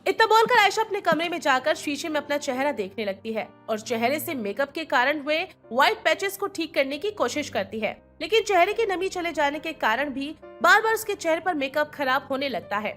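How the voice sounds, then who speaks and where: Casting: female cartoon story narrator, high voice with animated intonation. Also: brisk, few pauses, soft background music.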